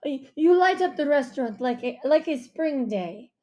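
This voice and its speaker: A woman's voice: mid-range voice, fairly even intonation, dark tone, lightly acted. A woman narrator voicing a snooty, obsequious male restaurant host in an audiobook. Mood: flustered, fawning. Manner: stiff, drawn-out, stammering when flustered. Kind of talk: audiobook character voice.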